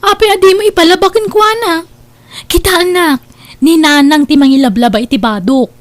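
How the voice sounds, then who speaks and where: A woman's voice: extremely high pitch, normal speed. A woman playing a spoiled, lazy, bossy daughter in her twenties in an Ilocano-accented audio drama, demanding and whiny. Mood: bossy, whining. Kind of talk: amateur radio-style Ilocano audio drama.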